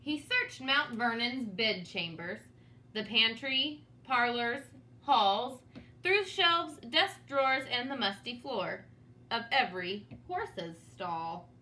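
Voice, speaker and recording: Bright, expressive woman reading a storybook. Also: a little high, slow, a little hiss or hum, low-quality recording. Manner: dramatic, teacherly, with asides to young listeners. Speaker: storybook reader. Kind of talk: expressive read-aloud for children.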